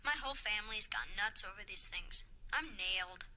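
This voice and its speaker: A woman playing a dejected, grounded teenager confiding on the phone in a hushed, rueful way in a 1980s commercial. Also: medium pitch, moderate pace. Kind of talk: 1980s commercial dialogue acting.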